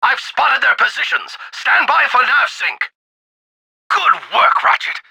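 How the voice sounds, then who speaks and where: A man voicing a gruff older alien general in a video game, a raspy, commanding military pilot speaking through a radio filter. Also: very high-pitched, slow pace, pushed and bright, no echo, full character voice. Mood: focused, commanding. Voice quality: gravelly, raspy. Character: gruff older alien general, bold, urgent, loyal mentor. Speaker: wingman pilot giving orders over radio. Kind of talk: video game voice acting, combat radio chatter.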